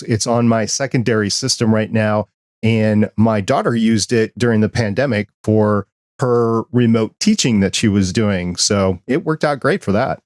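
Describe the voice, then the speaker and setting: A middle-aged man with a quiet, soft voice hosting a podcast interview, asking friendly questions and telling long anecdotes into a dynamic broadcast mic. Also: fairly low pitch, dry recording, clean studio sound. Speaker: podcast host. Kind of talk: interview host. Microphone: dynamic broadcast microphone.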